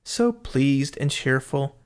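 A man's voice: medium pitch, animated, slow pace. A man voicing a contented father in a fairy tale, speaking happily.

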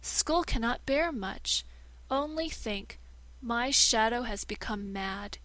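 A proud, scheming shadow-man from a storybook lords it over his old owner, issuing orders in old-fashioned speech, as a woman reads him. The voice sits fairly high and forceful, recorded close and dry with no room around it. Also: lively intonation, takes it slowly, dry recording, poor audio. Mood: feigning distress. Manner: commanding, archaic thee and thou speech. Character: haughty scheming male shadow turned master.

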